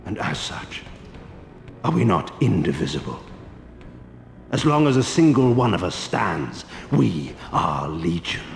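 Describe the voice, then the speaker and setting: An actor playing an ancient, arrogant vampire lord in a video game, speaking in a theatrical, deliberate, cynical way. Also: male voice, low voice, vocal fry, exaggerated voice. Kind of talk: dramatic video game acting. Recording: echoey hall reverb over music.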